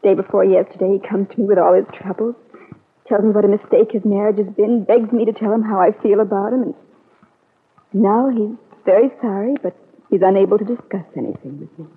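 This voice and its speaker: Young American niece, in vintage radio melodrama: bitter, wounded, husky-voiced; tinny archive recording. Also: female voice, mid-range voice, a little fast. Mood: hurt, resentful. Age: young adult. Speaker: radio actress. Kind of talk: classic American radio serial acting. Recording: tinny narrow-band old radio recording.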